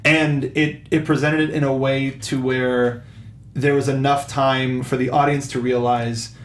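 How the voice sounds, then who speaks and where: A man hosting a casual film review panel, talking in a halting way and often restarting his phrases. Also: mid-range voice, little pitch movement. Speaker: talk show host. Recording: echoey room with faint noise.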